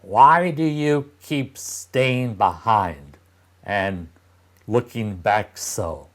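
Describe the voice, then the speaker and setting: A man's voice: fairly low pitch, lively intonation, extremely slow, fairly bright tone, exaggerated voice. A man voicing a cold, scolding stepmother in a storybook read-aloud, commanding and emphatic. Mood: impatient dismissive.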